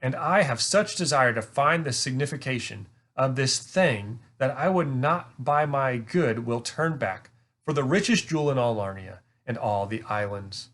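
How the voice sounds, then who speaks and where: A man's voice: medium pitch, expressive, moderate pace, fairly bright tone. A man voicing an earnest, curious young king in a storybook, speaking in formal, archaic courtly language. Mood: eager. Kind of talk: storybook read-aloud.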